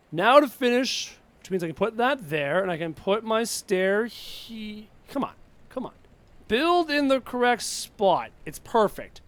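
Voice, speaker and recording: Chatty Canadian man streaming, slightly sick. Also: high voice. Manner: chatty, reads viewer questions aloud, frustrated outbursts at the game. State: sick with a cough. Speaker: gaming streamer. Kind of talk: casual live-stream chat while playing.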